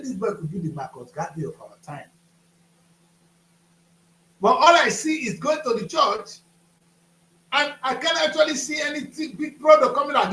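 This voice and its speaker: A man in his fifties hosts a talk show, firing emphatic rhetorical questions. His voice sits high. The studio sound is roomy and echoey. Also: bright, forceful tone. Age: 50s.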